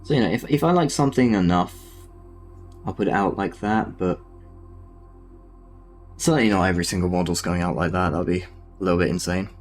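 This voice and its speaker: Southern English man, lowish voice, chatty. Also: brisk, pauses often, soft background music. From Southern England. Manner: rambling and self-deprecating, many fillers. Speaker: streamer. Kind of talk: casual chat while painting miniatures.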